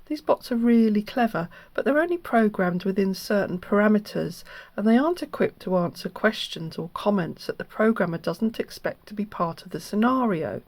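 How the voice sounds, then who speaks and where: Female host, earnest explainer, medium pitch, dry sound. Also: lively intonation, normal speed, a little mellow, no room sound. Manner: earnest, instructive. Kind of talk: explanatory scam-awareness narration.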